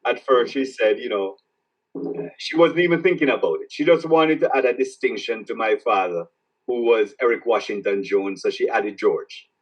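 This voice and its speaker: A man from Jamaica with a Jamaican accent, an author answering interview questions in a reflective, halting way that repeats words as he starts each thought. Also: mid-range voice, breathy edge, far from the mic, highs cut off. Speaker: author guest.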